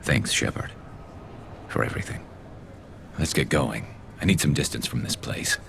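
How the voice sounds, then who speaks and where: A man playing a loyal, battle-weary male alien soldier in a video game, with a dry, wry, measured delivery. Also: rumbling low, vocal fry, noisy background. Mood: brooding, conflicted.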